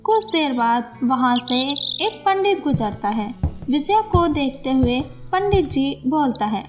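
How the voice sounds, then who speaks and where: A woman storyteller reads a scripted bedtime fable. Her voice is high and her pace very slow. Music plays clearly behind the narration. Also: expressive.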